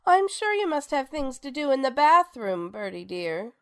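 An actress playing a famous, sharp-tongued theater star in a radio drama, with a grand, theatrical, sardonic manner. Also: female voice, high-pitched, big pitch swings, moderate pace, no room sound, studio quality. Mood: grandly gracious.

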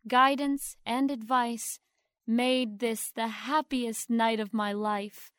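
A woman playing a poised young stage actress who speaks with formal, sweetly humble gratitude and deliberate pauses in an audio drama. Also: fairly high pitch, fairly even intonation, fairly bright tone, no echo, studio quality. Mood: grateful. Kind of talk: theatrical award acceptance speech in audio drama.

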